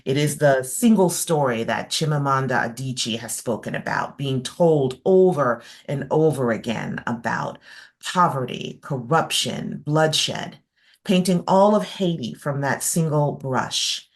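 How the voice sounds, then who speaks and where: Woman host, low voice, talks slow and careful, lots of ums, on a video call. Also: lively intonation. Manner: measured, thoughtful, with frequent fillers. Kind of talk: interview hosting.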